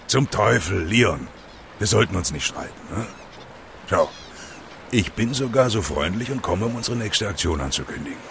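A big, brash activist with a creaky, forceful voice that swings widely. He goads and pleads sarcastically in an audio drama. Light outdoor traffic noise sits behind him. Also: fairly low pitch, lively intonation, normal speed. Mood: coaxing. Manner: goading, emphatic, sarcastic. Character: huge, brash, provocative male eco-activist, sarcastic and insistent.

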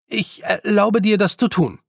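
A man performs a naive young boy for an audiobook. He puts on lordly airs but sounds nervous and hesitant, tripping over words. The voice sits fairly high with a husky grain, recorded close and dry. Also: measured pace, no echo, full character voice. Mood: haughty, wary. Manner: hesitant, stammering, slightly haughty. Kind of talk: audiobook character voice.

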